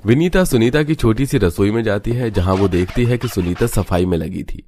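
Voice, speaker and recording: A man's voice: on the low side, a little fast, darkish tone, slight background noise. A man reading scripted narration as a story narrator.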